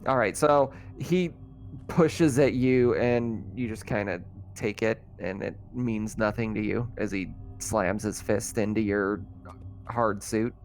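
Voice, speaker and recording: A man's voice: on the low side, takes it slowly, faint music behind. A man running a tabletop roleplaying game as game master, describing scenes in a casual, improvised, conversational way. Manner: casual, conversational, thinking out loud.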